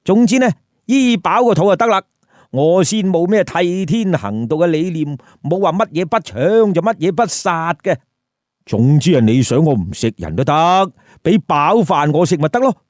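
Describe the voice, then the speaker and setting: A man's voice: on the high side, sing-song, moderate pace, darkish tone, no echo, studio quality. A man narrating a humorous story with commentary in a theatrical, colloquial, rhetorical way. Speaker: storyteller and host.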